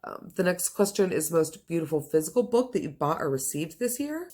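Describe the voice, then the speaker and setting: A woman's voice: deep voice, big pitch swings, a little fast, darkish tone. A woman chatting casually to camera as a book reviewer, with a gushing, enthusiastic and emphatic manner. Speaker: book reviewer and video host.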